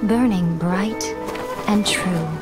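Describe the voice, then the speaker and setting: A woman playing a poised, elegant and slyly playful shrine maiden in a video game, speaking in a coy, measured, formal way. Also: medium pitch, lightly acted. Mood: solemn, narrating. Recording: music behind the voice.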